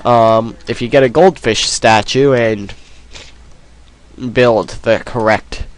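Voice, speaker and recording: A man's voice: medium pitch, fairly even intonation, takes it slowly, smooth. A man with a cold, sniffling as he rambles through casual let's play commentary. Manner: rambling, self-deprecating, many ums.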